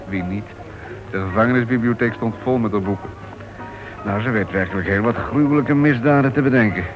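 An actor playing a reserved, wary ex-convict turned author in a Dutch radio play. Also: male voice, medium pitch, fairly quick, highs cut off, over music, close to an everyday voice. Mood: reserved, curious.

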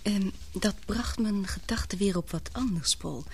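An actress in a radio play playing a frightened young woman confiding in her lover, halting and breathless in an earnest way. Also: medium pitch, slight background noise, lightly acted. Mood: subdued, weary.

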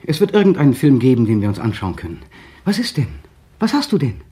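A man's voice: medium pitch, big pitch swings, fast talker, mellow, close to an everyday voice. A man playing a tense, controlling husband. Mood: restless. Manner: clipped, insistent, falls to muttering. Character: tense, controlling young husband pressing his wife. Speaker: actor in a radio play. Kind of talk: 1960 German radio drama acting.

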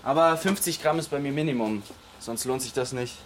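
A man's voice: mid-range voice, brisk, lightly acted. Actor as easygoing young man, slight background noise. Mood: reassuring. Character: easygoing young male lab researcher dealing homemade speed. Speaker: research assistant. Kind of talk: naturalistic radio drama dialogue.

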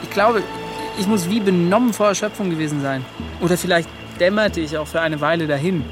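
An actor playing an earnest, excitable young adventurer in a German radio play, speaking with impassioned emphasis. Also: male voice, high voice, fairly quick, natural voice. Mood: weary, reflective. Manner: impassioned, emphatic, breaks into short repeated questions. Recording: music under the voice.

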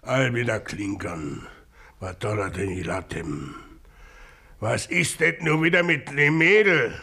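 A man's voice: mid-range voice, animated, measured pace, gravelly, pushed and bright, husky, full character voice. An actor playing a grumbling, henpecked working-class father in a radio drama, speaking Berlin-area dialect. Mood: irritated. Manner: grumbling, insistent.

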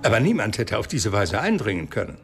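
An actor playing an educated, matter-of-fact doctor who explains things thoughtfully in a radio crime drama. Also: male voice, on the low side, huge pitch swings, fast talker, creaky, a bit bright, soft background music, exaggerated voice.

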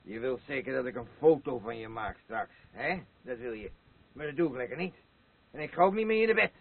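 An actor playing a boastful, crude and rambling drunken old war veteran in a radio play, with a husky voice. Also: male voice, mid-range voice, expressive. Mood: boastful, angry. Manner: slurring, rambling, halting, blustering. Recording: muffled lo-fi radio play recording.

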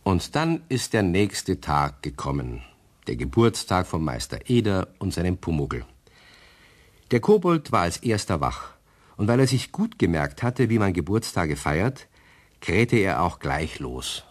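A man narrating a children's radio play as storyteller, measured and expressive. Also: low voice, full character voice. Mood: storytelling.

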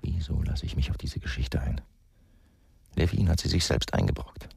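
An actor playing a brooding, gruff former policeman turned investigator, muttering terse inner monologue in a radio crime drama. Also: rumbling low, lively intonation, normal speed, a little mellow, no echo, clean studio sound, full character voice. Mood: brooding, irritated. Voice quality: gravelly, husky voice.

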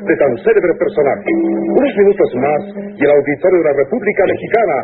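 A man's voice: fairly high pitch, lively intonation, fast, over music, natural voice. An actor playing a formal, grandiose male studio announcer in a 1960s radio drama. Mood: solemn. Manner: ceremonious, sweeping announcer delivery. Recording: tinny, hissy 1960s radio recording.

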